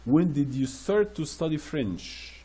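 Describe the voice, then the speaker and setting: A man teaching French classroom phrases, repeating each slowly and translating it into English. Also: on the high side, expressive, warm tone, husky. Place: Riyadh.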